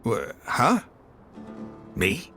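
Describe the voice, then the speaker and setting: A man's voice: medium pitch, huge pitch swings, drawn-out words, pushed and bright, exaggerated voice. An actor playing a proud, devout male desert warrior in a video game, blunt, wary and emphatic. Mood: defensive, irritated. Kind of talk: video game cutscene acting. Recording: music clearly heard behind.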